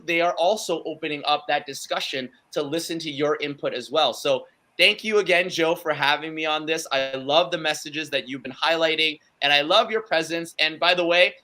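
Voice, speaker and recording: Bright-voiced eager man on a video call. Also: fairly high pitch. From Canada. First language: Cantonese. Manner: enthusiastic, rambling with many fillers. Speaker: guest speaker. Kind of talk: casual chat. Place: home room.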